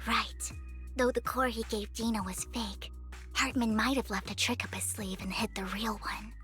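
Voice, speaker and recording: Woman doing a coy sly girl thief, kinda high, whispers a lot, game music under it. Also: fairly even intonation, moderate pace, fairly bright tone, close to an everyday voice. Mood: plotting. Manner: coy and teasing, drops to whispers. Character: poised young woman, teasing, cunning thief. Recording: game audio with music behind.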